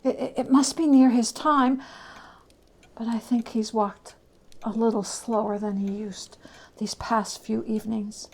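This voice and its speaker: A tender, weary mother played by a woman reader hides her sorrow in a storybook tale. Her voice is subdued and fairly high, with a slow, halting delivery. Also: fairly even intonation. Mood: quiet grief.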